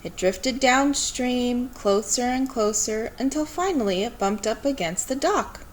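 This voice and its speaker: A woman reading a children's story aloud in an expressive, measured storytelling manner. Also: a little high, slight background noise. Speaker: storybook reader.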